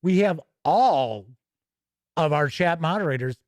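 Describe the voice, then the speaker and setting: Higher-voiced man hosting gaming news, dry sound. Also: animated, measured pace, no echo. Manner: chatty, informal asides while reading aloud. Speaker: host of a tabletop gaming news show. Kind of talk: casual live-stream news reading and commentary.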